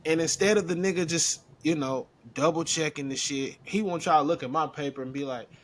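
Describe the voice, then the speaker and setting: A man's voice: a little high, fairly quick, a little hiss or hum. A man in his thirties, co-hosting a podcast, telling a work story in a laid-back, slangy way. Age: 30s. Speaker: podcast co-host. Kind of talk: casual chat.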